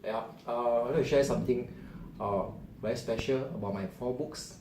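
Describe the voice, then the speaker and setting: A man's voice: mid-range voice, normal speed. A middle-aged man with a Singaporean English accent, a financial planner and author making an earnest, conversational appeal to his viewers. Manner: earnest, loose and conversational with frequent restarts. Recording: echoey room with faint ambient noise.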